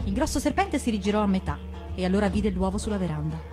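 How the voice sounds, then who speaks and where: Female storyteller: expressive storybook narration, medium-pitched voice, faint musical backing. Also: fast talker, soft background music. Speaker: actress reading stories on radio.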